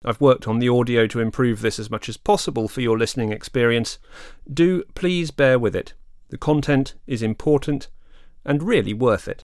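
A man's voice: medium pitch, moderate pace, darkish tone, no echo. Earnest male podcast host, dry close mic. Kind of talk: scripted introduction.

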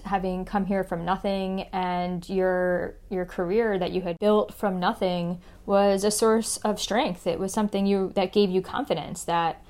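A woman's voice: medium pitch, darkish tone. A woman interviewing a longtime acquaintance, speaking in an affirming, recollecting way. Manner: affirming, recalling past together. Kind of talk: conversational interview.

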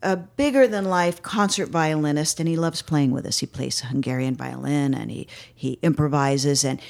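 A woman musician telling stories in a relaxed interview, enthusiastic and chatty. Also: deep voice, normal speed, creaky. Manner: enthusiastic storyteller, chatty with restarts. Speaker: band musician guest. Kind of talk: casual interview chat.

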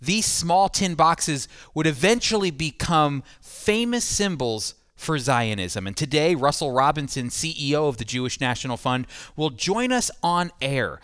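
A man's voice: on the high side, lively intonation, measured pace, hardly any pauses, a bit bright, clear, resonant tone, no room sound, clean studio sound. A man hosting a radio interview program as its presenter and teacher. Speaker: radio host and Bible teacher.

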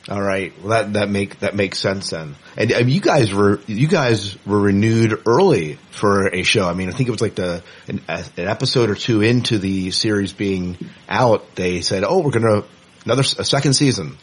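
A male interviewer with a notably deep voice and a husky edge. He talks casually as a co-host, feeling his way loosely into each question. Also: moderate pace.

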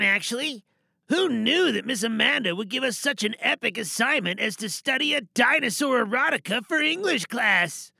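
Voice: sits high, creaky, bright, forceful tone, dry recording, pitched above the performer's own voice, heavily acted. A performer voicing a hurt, neglected student leaving an earnest, hesitant message in a dramatic monologue.

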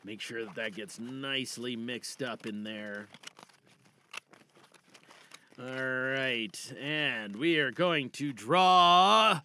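A man's voice: medium pitch, slow pace, pushed and bright, slight background noise. A man hosting a podcast in a casual, chatty, playful way with a bit of showmanship. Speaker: podcast host and amateur voice performer.

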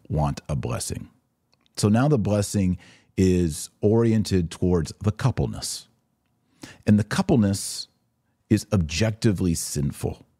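Deep-voiced man giving emphatic live commentary. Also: warm tone, breathy edge. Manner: opinionated, emphatic, repeats phrases for emphasis. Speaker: podcast host and religious commentator.

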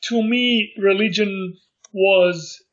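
A middle-aged man from Montenegro, recalling his life in a casual interview and speaking haltingly with many fillers and restarts. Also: high voice, steady tone, fairly bright tone, dull audio. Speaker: interview guest.

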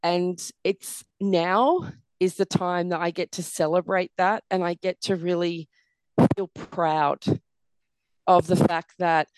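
Middle-aged woman guest, croaky and tired, earnest and reflective, lowish voice. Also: fairly even intonation, slow, dry recording, rough recording. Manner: earnest, many "you know" fillers. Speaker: podcast guest. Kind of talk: reflective interview conversation.

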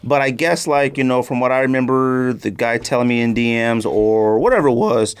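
A man's voice: medium pitch, brisk, smooth. A man hosting a podcast, chatting casually and informally with plenty of slang. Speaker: podcast host. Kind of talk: casual sneaker podcast chat, unboxing.

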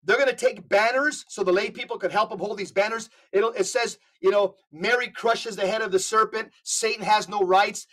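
A man's voice: high voice, fairly even intonation, normal speed, few pauses, bright, forceful tone. A man speaking as a podcast guest, giving impassioned religious talk in an emphatic, exhortatory way and reading out quotations.

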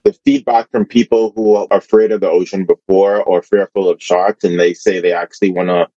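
A man from the Bahamas, a relaxed, easygoing guest on a radio interview. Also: low voice, fairly quick, dry recording, dull audio.

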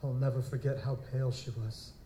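A man's voice: mid-range voice, little pitch movement, brisk, warm tone, close to an everyday voice. An actor playing an anxious, grieving young nobleman in a live audio drama, speaking formally with a fretful stammer. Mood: shaken. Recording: echoey live stage, background noise.

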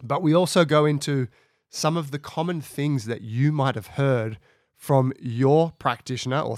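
A man with a raspy voice from a cold, speaking conversationally as he introduces his podcast from home. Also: mid-range voice, dry recording, clean studio sound. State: has a cold. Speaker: podcast host.

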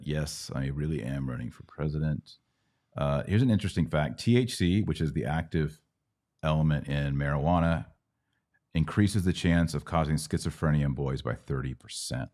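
Middle-aged male podcast host, American, extremely low voice, informal teacherly Q&A delivery. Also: normal speed, a little mellow. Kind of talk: live Bible Q&A, casual teaching.

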